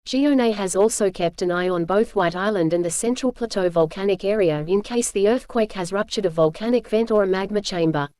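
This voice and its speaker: A woman's voice: medium pitch, a little fast, hardly any pauses, mellow, no room sound. Formal female announcer, dry studio-like sound. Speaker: emergency broadcast announcer. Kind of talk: scripted informational announcement.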